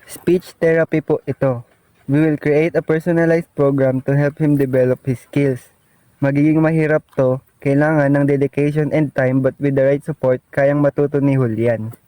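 Man playing a calm, mellow doctor. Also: a little high, no room sound, close to an everyday voice, rough recording. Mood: reassuring. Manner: measured, explanatory. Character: calm, reassuring male doctor. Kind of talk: amateur radio drama acting.

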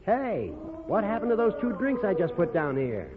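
An actor playing a genial, puzzled bartender in a 1940s radio drama. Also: male voice, high voice, sing-song, normal speed. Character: cheerful, puzzled male bartender. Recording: tinny old radio recording.

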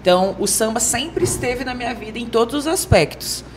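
A woman's voice: on the high side, expressive, fairly quick, background noise. A young Brazilian woman casually introducing herself as a podcast guest. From Brazil, Rio de Janeiro and Mato Grosso do Sul. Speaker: guest, samba singer. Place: small wood-panelled TV studio, indoors. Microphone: handheld microphone.

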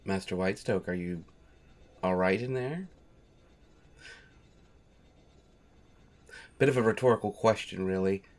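A man's voice: deep voice, a little fast, natural voice. A man playing a devoted, patient manservant in an audio roleplay, polite and dryly wry, with hesitant phrasing. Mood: dryly amused. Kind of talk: scripted audio roleplay, speaking directly to listener.

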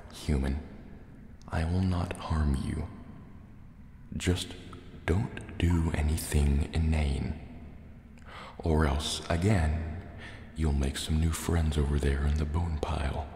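A man voicing a wary, brooding sea serpent dragon in a soft-spoken ASMR roleplay, speaking hesitantly in formal words. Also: a bass voice, full character voice. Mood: suspicious, guarded. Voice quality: gravelly, softly spoken. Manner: slow, hesitant, formal wording. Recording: cavernous echo, long reverb, faint water noise.

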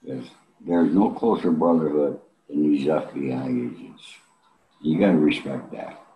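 An elderly man talking casually and bluntly as an interview guest, salty and pausing often, on a video call from a room at home. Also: rumbling low, lo-fi sound, a little hiss or hum. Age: 70s or older. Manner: blunt, salty, with frequent pauses.

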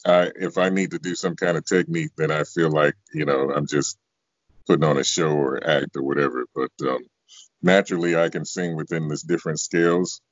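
A middle-aged American man with a very deep bass voice, chatting casually as an interview guest on a video call. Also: flat delivery, brisk, no room sound. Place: small room at home, indoors. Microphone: video call, close to device. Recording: dull lo-fi video call audio.

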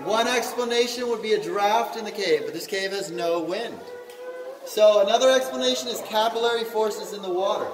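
A man's voice: high voice, moderate pace. A man working as a cave tour guide, explaining things plainly to a group, picked up by a visitor's distant camera inside a cave. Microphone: distant handheld camera. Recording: echoey cave, distant handheld camera, noisy.

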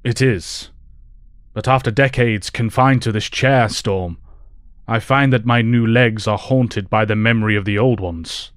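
A man playing a wise, reflective professor and mentor in an audio drama, formal and measured. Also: lowish voice, clean tone, no room sound, clean studio sound, close to an everyday voice. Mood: reflective, solemn.

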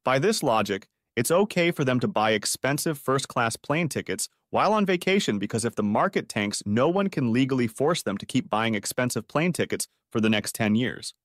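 Guy doing a scripted explainer voiceover, teacherly, pitch moves around a lot, really dry close sound. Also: expressive, moderate pace, few pauses, dry recording. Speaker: presenter of an investing explainer.

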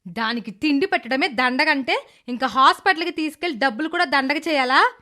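A cruel middle-aged stepmother from a cartoon moral tale, played by a woman, speaks in a high voice. She scolds with scorn and sarcasm, sounding resentful and calculating. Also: brisk, sharp tone. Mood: angry, defiant. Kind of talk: animated moral story character dialogue.

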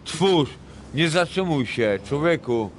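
Middle-aged man from Poland, slow unhurried speech, crude rambling talk, high, outdoor street noise. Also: animated. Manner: crude and sweary, rambling, mixes in broken English. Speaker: homeless man interviewed on the street. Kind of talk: casual street conversation. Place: city street at night, outdoors. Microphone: vlogger's handheld camera mic.

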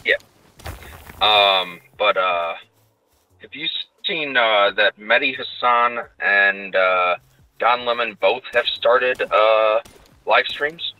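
A man calls in by phone from his car, his voice sharp and bright with a dry close sound. He talks in a halting way, stopping often on fillers. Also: fairly low pitch, no echo, rough recording. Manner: hesitant, many "uh" pauses. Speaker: guest on a call. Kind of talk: casual phone chat.

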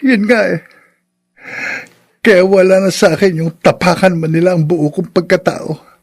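An actor playing a meek, long-suffering poor young son in a radio drama, hesitant, deferential and pleading, with a husky voice. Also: male voice, high-pitched, fairly even intonation, normal speed. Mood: sorrowful, resigned.

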